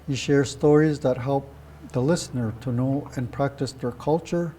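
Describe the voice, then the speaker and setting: A man's voice: mid-range voice, moderate pace, dark tone, a little hiss or hum. A man reading a scripted biographical introduction of a guest speaker as a presenter.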